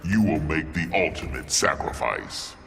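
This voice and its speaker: A man's voice: very deep voice, big pitch swings, a little slow, creaky, heavily acted. A man playing an imperious alien tyrant god in a video game, pompous and menacing. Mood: commanding. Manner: pompous, commanding. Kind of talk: video game villain dialogue. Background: loud music.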